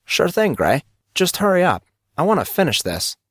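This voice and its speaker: A man's voice: sits high, very animated, moderate pace, dry recording, pitched above the performer's own voice. Guy doing a showy teenage kid for an audiobook, hammy presenter style, dry recording. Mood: impatient. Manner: theatrical, presenter-like. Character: Casey, showy, excitable teenage boy.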